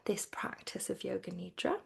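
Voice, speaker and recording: A woman teaching yoga nidra as a guided relaxation, soothing and hushed, with phrases that trail toward a whisper. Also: medium pitch, animated, normal speed, creaky.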